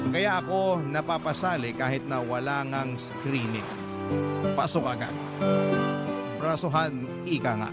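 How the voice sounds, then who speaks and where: A man narrating a story in the first person, in a calm, reflective storytelling manner. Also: medium pitch. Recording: loud music bed.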